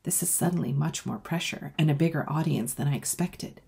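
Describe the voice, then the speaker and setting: Female children's author for read-aloud: low, lightly husky voice, expressive, talks directly to kids. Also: brisk. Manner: expressive, addressing young listeners. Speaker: author reading their own book.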